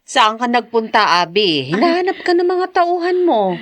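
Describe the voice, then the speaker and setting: A woman's voice: a little high, expressive, moderate pace, lightly acted. A woman playing a kindly, motherly older adviser in a Filipino radio drama, with a Filipino accent. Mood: fond, inquisitive.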